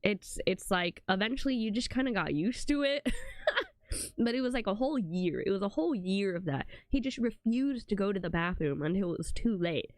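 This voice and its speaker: A woman's voice: mid-range voice, lively intonation, fairly quick, dry recording. A young woman with a congested voice chatting casually to her live stream, rambling with lots of "like" and "um" fillers. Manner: rambling, full of "like" and "um".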